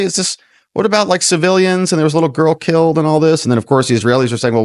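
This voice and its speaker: A man's voice: medium pitch, no room sound, clean studio sound. An American man hosting a news commentary show, reading reports and breaking off into casual, sarcastic, incredulous asides. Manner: acts out imagined dialogue, mocking and incredulous.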